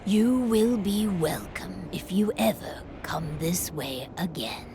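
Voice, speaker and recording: A proud female werewolf chieftain in a dramatic video game scene. Her low voice moves very slowly, with gravelly fry and breathy, near-whispered falls. She sounds grave, formal and humbled, with music behind her. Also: expressive, background noise, full character voice. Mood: solemn, grateful. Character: solemn proud female werewolf pack leader, humbled and remorseful.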